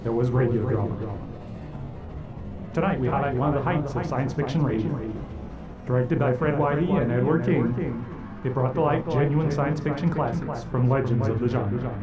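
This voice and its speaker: A man's voice: medium pitch, normal speed, loud music behind the voice. A man reading a scripted introduction as the host of a radio drama program. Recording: dull, roomy, distant-sounding.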